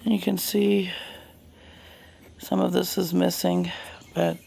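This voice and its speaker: Casting: woman, Southern US accent, heavily creaky voice, folksy unhurried DIY vlogger, slight background noise. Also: on the low side, level tone, warm tone. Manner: folksy, unhurried, murmuring along while working. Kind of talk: casual hands-on demonstration vlog. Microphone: handheld camera.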